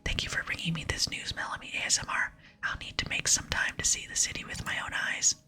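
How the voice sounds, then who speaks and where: A woman voicing a gruff, doubting old male dwarf smith from a game in an expressive whisper. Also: quick pace. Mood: amazed. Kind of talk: whispered reading of game dialogue. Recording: close whisper with music behind.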